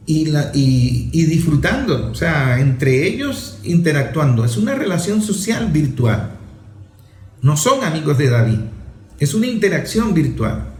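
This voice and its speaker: A man's voice: a little high, a little mellow, echoey room. A father in his forties speaking earnestly and emphatically, repeating phrases and listing examples for effect. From Venezuela. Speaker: father and author speaking on a talk show. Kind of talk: earnest conversational commentary.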